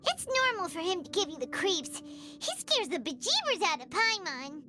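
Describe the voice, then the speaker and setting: A woman voicing a tiny, childlike fairy companion in a video game, excitable and nervous, with a squeaky delivery. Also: normal speed. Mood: uneasy. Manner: squeaky, refers to self in third person.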